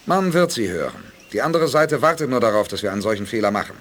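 An actor playing a self-assured male spaceship commander in a 1980s audio drama, brisk and authoritative. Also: on the low side, very animated, fairly bright tone, a little hiss or hum. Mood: confident, commanding.

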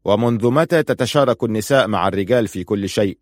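A man's voice: fairly low pitch, fast, a little mellow, dry recording, studio quality, close to an everyday voice. A man playing a stern, proud and suspicious husband in audiobook dialogue, firm, curt and challenging.

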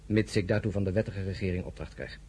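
Deep-voiced, unyielding republican general. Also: male voice, level tone, quick pace, mellow, slight background noise. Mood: firm, defiant. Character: principled, unyielding French republican general, stern and loyal. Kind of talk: dramatic audio drama acting.

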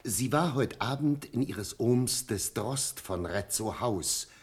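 A man's voice: medium pitch, animated, slow pace, husky. An actor playing a grave, deferential courtier in a classical radio drama, solemnly and urgently warning and pleading with his ruler. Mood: urgent, hesitant. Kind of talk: classical German verse radio drama acting.

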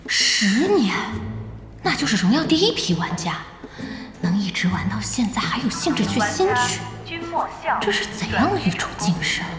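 An actress in a Chinese audio drama playing a brisk, bossy young woman who runs an internet cafe, speaking directly. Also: medium pitch, big pitch swings. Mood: chatty, probing. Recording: music and crowd sounds behind.

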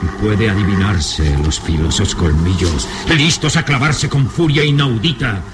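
A man narrating a gothic adventure radio serial in a solemn, suspenseful manner with deliberate phrasing. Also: fairly low pitch, lively intonation, background noise. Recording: old radio serial with dramatic music. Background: dramatic music.

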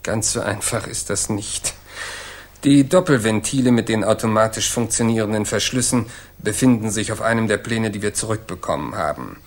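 A man plays an anxious government official in a sixties German radio drama. His low voice is earnest, formal and on the defensive. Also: normal speed, close to an everyday voice. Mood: guarded. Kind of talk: 1960s German radio play acting.

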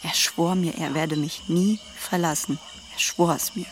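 A woman's voice: low voice, fairly even intonation, a little slow. An actress playing an anguished, distrustful young woman in love, insistent and intense, in a radio drama. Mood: wary, doubting. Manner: insistent, questioning. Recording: faint background noise.

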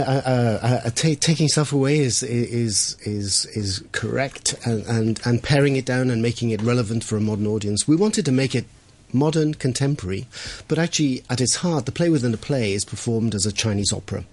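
A man's voice: medium pitch, a little fast, a little mellow. A male theatre director being interviewed on radio, explaining in a lecturing way with frequent restarts. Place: radio studio.

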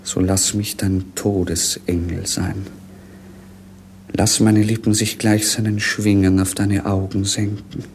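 Low-voiced, rapturous young prince. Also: male voice, level tone, slow pace. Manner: lyrical, theatrical declamation. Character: young romantic prince, melancholy, rapturous, world-weary dreamer. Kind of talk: 1950s German radio play acting. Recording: noisy 1950s radio recording.

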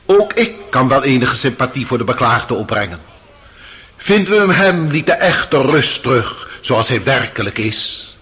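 An actor playing a stern, solemn public prosecutor giving grave, rhetorical courtroom oratory in a radio drama. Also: male voice, mid-range voice, sing-song, a little slow, sharp tone, breathy edge, heavily acted. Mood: solemn, accusing.

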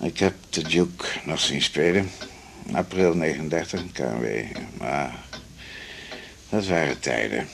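A man's voice: very deep voice, a little slow, creaky, fairly bright tone, slight background noise, full character voice. A man playing a smug, conservative, patronizing older doctor in a radio drama, pompous and full of hesitant ehs. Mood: chatty, nostalgic. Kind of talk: 1980s Dutch radio drama acting.

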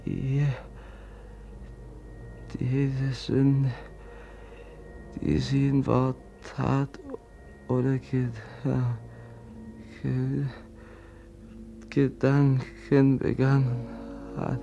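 An actor in a 1950s radio drama playing a gentle, trembling young prince keeping vigil, speaking hushed and halting. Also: male voice, mid-range voice, flat delivery, creaky, highs cut off, a little hiss or hum. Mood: prayerful. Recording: old 1950s mono radio recording.

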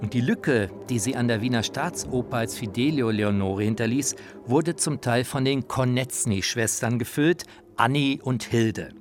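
A man's voice: medium pitch, moderate pace, faint music behind. A man narrating a radio feature in a colloquial, anecdotal storytelling manner.

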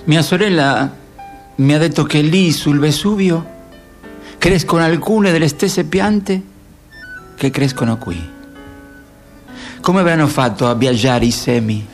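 Slow, poetic man. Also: fairly high pitch, faint music behind. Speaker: radio theatre host and narrator. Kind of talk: poetic dramatic radio narration.

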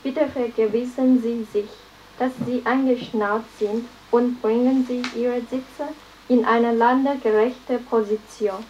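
Spaceship flight attendant, female, acted, formal and polite, fairly high voice, muffled announcement in a radio play. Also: steady tone, unhurried, warm tone, a little hiss or hum, lightly acted. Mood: courteous, formal. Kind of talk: 1970s radio drama, cabin announcement.

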